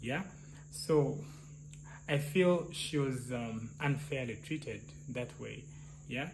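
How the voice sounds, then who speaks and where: A man with a soft, slightly high voice, thinking aloud in casual discussion with many restarts and "yeah?" tags. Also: slow pace, vocal fry. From Uganda. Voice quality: soft, somewhat high-pitched voice. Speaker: clinical psychologist, co-host. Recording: noisy, crickets and hum.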